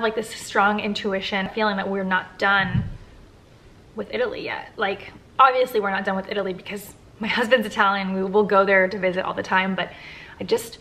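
A woman's voice: medium pitch, a bit bright. Thirties woman doing a q&a vlog from her living room, chatty, lots of likes. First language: English. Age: 30s. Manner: candid, chatty, frequent "like" fillers. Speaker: vlogger answering viewer questions. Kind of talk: casual Q&A chat to camera. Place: living room at home, indoors. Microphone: camera at close range.

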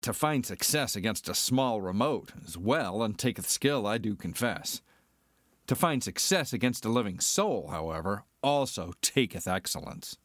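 An actor playing a cocky, skeptical smuggler pilot, declaiming theatrically in a Shakespearean dramatic reading. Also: male voice, lowish voice, lively intonation, normal speed, a bit bright, no echo, clean studio sound. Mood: dry, dismissive.

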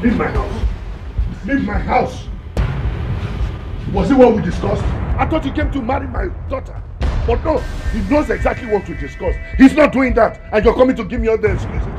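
A man's voice: extremely high pitch, animated, normal speed, music in the background. An actor with a Nigerian accent playing a wealthy chief who angrily orders a young man out of his house. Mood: furious. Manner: commanding, threatening. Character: furious wealthy chief, protective father. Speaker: angry chief and father. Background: film score.

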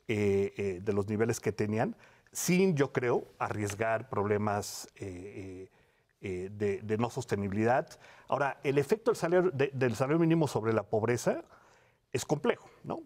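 A man, explaining as a studio guest in a halting, self-correcting way. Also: mid-range voice, darkish tone. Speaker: academic researcher, studio guest. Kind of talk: televised panel interview, explanatory. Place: television studio panel desk. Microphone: lapel.

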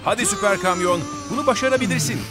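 A man with a high, bouncy voice narrates a children's cartoon, cheering on the vehicles and urging them along. His pitch leaps around with lots of energy, and loud music plays under him throughout. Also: animated, fast. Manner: encouraging, talks to the truck and little cars.

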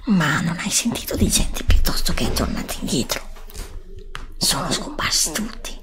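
A woman playing a curious, stubborn student in a whispered ASMR roleplay, hushed, insistent and pleading. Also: fairly low pitch, very animated, moderate pace. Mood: insistent, incredulous.

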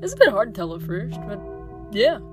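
A woman's voice: mid-range voice, big pitch swings, a little fast, music in the background, lightly acted. A woman playing a chirpy, cheeky nineteen-year-old mercenary escort in an audio drama. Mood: cheeky, proud.

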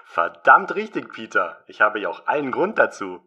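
A man playing a gruff, jovial, crude older film director in an audio drama parody. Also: fairly low pitch, big pitch swings, normal speed, bright, forceful tone, lo-fi sound, full character voice. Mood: gleeful. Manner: boisterous, crude, bluntly teasing.

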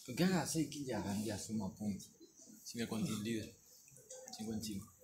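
A man's voice: fairly low pitch, expressive, normal speed. A man announcing tournament points and chatting in a matter-of-fact way. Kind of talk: casual chat and score calls. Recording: loud background noise.

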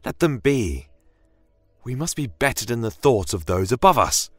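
A man playing a calm, principled inquisitor who reasons earnestly in a fantasy audio story. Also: medium pitch, normal speed, no echo, soft background music, voice pushed up. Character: calm, principled male church inquisitor, peacemaker. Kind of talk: fantasy audio drama acting.

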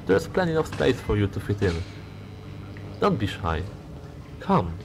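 A man's voice: fairly low pitch, very animated, a little slow, vocal fry, music in the background, full character voice. A man voicing a creepy, coaxing inmate for an amateur horror game mod.

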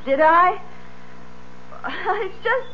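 An actress playing a sweet young wife in a 1970s radio drama, gentle and soft-spoken. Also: female voice, very high-pitched, animated, very slow, full character voice. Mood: light, playful. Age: young adult. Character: sweet young wife, vivacious yet ailing. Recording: muffled, faintly noisy old radio recording.

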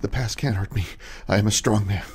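A man playing a gentle, anxious recovering addict in an audio horror drama, halting and earnest. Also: lowish voice, steady tone, slightly rough. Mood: anxious chanting.

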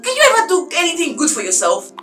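A spoiled young Nigerian madam in a screen drama. Her voice is high and bright, swooping widely in pitch. She is haughty, short-tempered and quick to insult. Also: huge pitch swings, roomy sound, soft background music, exaggerated voice. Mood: irritated, demanding. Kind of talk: melodramatic screen drama acting.

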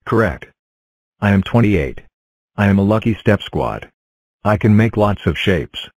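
A man narrating and voicing number characters in a fan cartoon with a plain, even delivery. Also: on the low side, measured pace, no room sound.

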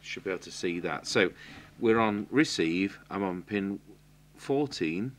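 A middle-aged man with an English accent gives an unscripted hands-on technical tutorial, halting and matter-of-fact as he thinks aloud while working. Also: sits low, expressive. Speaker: radio repair technician giving a demonstration. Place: indoor electronics workbench. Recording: clear hiss and electrical hum.

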